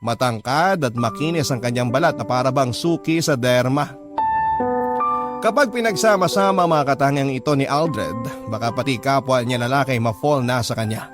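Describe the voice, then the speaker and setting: A man's voice: medium pitch, normal speed. A man with a Filipino accent reading a scripted first-person story as a radio drama narrator. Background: music bed.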